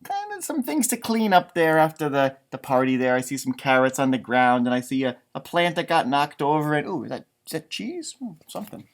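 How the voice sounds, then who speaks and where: A man with a high voice reads a picture book to young children. His delivery swoops up and down, expressive and exaggerated, and he drops in chatty asides like a teacher. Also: slight background noise. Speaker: elementary music teacher reading aloud. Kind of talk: animated children's storybook read-aloud with commentary.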